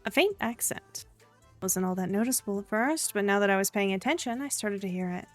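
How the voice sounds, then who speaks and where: A woman's voice: mid-range voice, lively intonation, brisk, soft background music, pitched below the performer's own voice, close to an everyday voice. A woman voicing a guarded, awkward young woman who narrates her visual novel story in a subdued, wry manner. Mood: startled, pensive.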